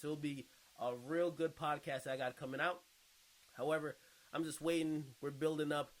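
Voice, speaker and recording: A man's voice: mid-range voice, poor audio. A man hosting a casual online video, reading out and reacting to viewer comments in an informal, slangy way with some swearing. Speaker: online video host and podcaster.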